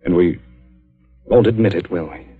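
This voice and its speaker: A man's voice: low-pitched, normal speed, slightly rough. A man acting a dutiful, restrained doctor secretly in love in a 1940s radio drama, subdued and hesitant. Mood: tender, restrained. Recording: dull 1940s radio recording with music.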